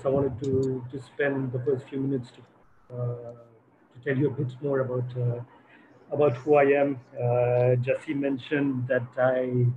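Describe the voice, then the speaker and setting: This is a man in his forties, raised in Switzerland, speaking at a middle pitch. He talks haltingly, full of fillers and restarts. His voice comes over a thin, phone-like video call line with a faint hiss behind it. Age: 40s. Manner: hesitant, many fillers and restarts. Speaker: guest photographer. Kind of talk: online talk presentation. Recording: tinny phone-like online call audio.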